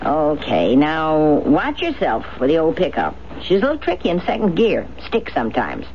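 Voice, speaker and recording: A kindly old countrywoman who speaks in plain, folksy rural phrases. Her pitch swings freely. It is a 1970s radio drama with muffled sound and clear background noise. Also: huge pitch swings, normal speed, a little mellow, exaggerated voice. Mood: fond, folksy. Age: older. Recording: dull, noisy 1970s radio recording.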